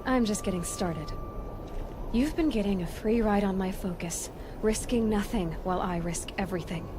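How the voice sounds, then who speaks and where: An actress playing a determined, defiant young huntress in a video game, speaking directly and insistently, full of questions. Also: female voice, mid-range voice, moderate pace, background noise, lightly acted. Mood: defiant, demanding. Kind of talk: video game cutscene dialogue.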